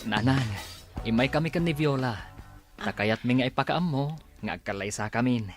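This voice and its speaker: Earnest, subdued Ilocano young suitor, comedy radio drama, played by a man; mid-range pitch. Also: normal speed, soft background music, lightly acted. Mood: pleading. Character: earnest young man pleading to marry his sweetheart.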